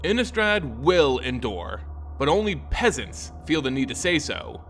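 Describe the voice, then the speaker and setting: An old aristocratic vampire patriarch , voiced by a male narrator, speaks with lofty, dismissive calm in a fantasy audiobook. His delivery is measured and condescending, with music underneath. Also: a little high, full character voice. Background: background music.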